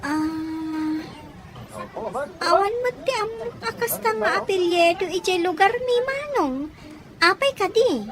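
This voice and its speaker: A woman's voice: very high voice, animated, slow pace, long pauses, slight background noise, full character voice. A woman playing a curious young woman in an audio drama, with an eager, questioning manner. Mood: inquisitive. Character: curious, inquisitive young woman fishing for information. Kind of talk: amateur audio drama acting.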